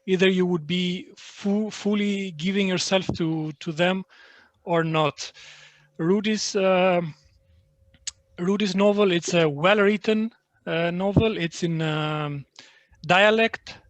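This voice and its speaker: Halting Albanian-born man, slow and hesitant. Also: high-pitched, no echo. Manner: halting, many fillers and restarts. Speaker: book translator. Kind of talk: online book fair talk.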